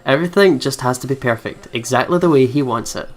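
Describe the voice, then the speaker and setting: A man's voice: mid-range voice, fast. A man reading visual novel narration aloud, dropping in fond, giggly asides. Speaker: let's play host. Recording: game music behind voice.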